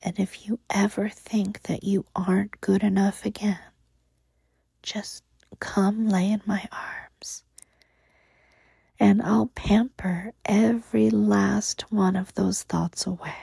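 A woman playing a doting, protective motherly lover, speaking softly in a cooing, often whispered way close to the mic. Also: medium pitch, flat delivery, slow pace, gravelly, slightly rough, no echo, full character voice. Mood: soothing, doting. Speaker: audio roleplay performer. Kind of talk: intimate whispered audio roleplay.

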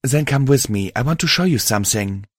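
Man voicing a gentle, pleading pony mare, pitched up. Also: animated, a little fast, no room sound, studio quality, close to an everyday voice. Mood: soft, earnest. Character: gentle, pleading female alicorn pony, earnest and caring.

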